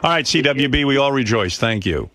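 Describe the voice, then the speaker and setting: Profane, animated male radio host. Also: mid-range voice, fairly quick, a little hiss or hum. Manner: profane, emphatic ranting. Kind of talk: casual radio talk. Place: radio studio. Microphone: studio microphone.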